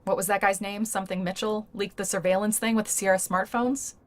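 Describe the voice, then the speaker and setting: An actress playing a shrewd, blunt journalist in a naturalistic audio drama, speaking frankly and persuasively. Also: female voice, medium pitch, fairly even intonation, a little fast, natural voice. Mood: apologetic, resigned. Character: shrewd, blunt female journalist negotiating a risky deal.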